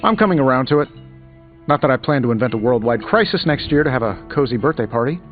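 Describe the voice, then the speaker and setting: An actor playing a glib, quippy billionaire hero in a video game, with wry, flippant banter. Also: male voice, medium pitch, expressive, quick pace, natural voice. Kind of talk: video game character dialogue. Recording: slightly dull, music behind.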